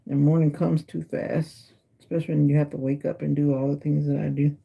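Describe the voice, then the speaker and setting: A sleepy middle-aged woman, raspy from a sinus infection, chatting casually with viewers on a phone livestream from home and teasing them by name. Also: low voice, little pitch movement, fairly quick, dull audio. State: sleepy and exhausted. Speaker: livestream host. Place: at home indoors.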